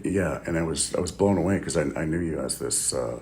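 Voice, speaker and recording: A man hosting a casual podcast chat, relaxed and halting with lots of fillers. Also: very deep voice, echoey room. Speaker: podcast host.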